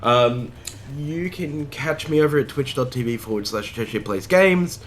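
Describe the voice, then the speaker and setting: A man from Australia co-hosting a casual card game stream, his voice still rough after covid, talking frankly with lots of ums. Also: mid-range voice, normal speed, slight background noise. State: recovering from covid, voice affected. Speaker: streamer and co-host.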